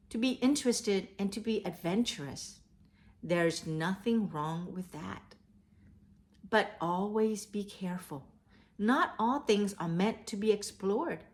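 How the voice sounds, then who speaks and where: A woman speaks to young children as a read-aloud host, in simple, direct phrases. Her delivery is slow-paced, with lively swings in pitch. The recording has a noticeable room echo. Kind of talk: expressive storybook read-aloud and talk addressed to children.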